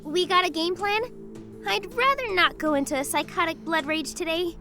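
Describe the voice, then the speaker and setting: A woman plays a bubbly, overexcited young fox girl in an audio drama, gushing and flustered. Her voice sits very high and squeaky, with faint music playing underneath. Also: moderate pace, sharp tone, exaggerated voice. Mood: wry.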